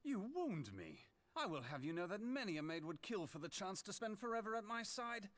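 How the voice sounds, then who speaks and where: A man's voice: high voice, big pitch swings, fairly quick, a bit bright. An actor playing a charming, roguish young male dagger fighter with a playful, wry way of speaking in a game cutscene.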